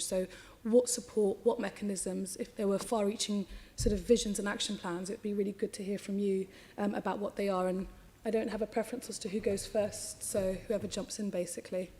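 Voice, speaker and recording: A woman assembly member with a slightly croaky voice, putting long, winding questions to a panel. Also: mid-range voice, dark tone, slight background noise. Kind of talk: committee questioning.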